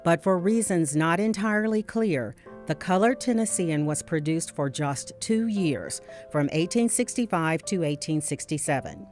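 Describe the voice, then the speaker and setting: A woman's voice: fairly low pitch, lively intonation, normal speed, hardly any pauses, a little mellow. A woman reading scripted documentary narration as the narrator. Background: guitar music.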